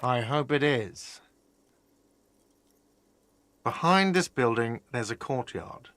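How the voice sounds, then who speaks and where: An actor playing an irritable, guarded cafeteria manager in a video game, curt and sardonic. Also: male voice, medium pitch, lively intonation, slow, a bit bright, no room sound. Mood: curt, impatient. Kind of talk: game voice acting. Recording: music behind the voice. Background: game music.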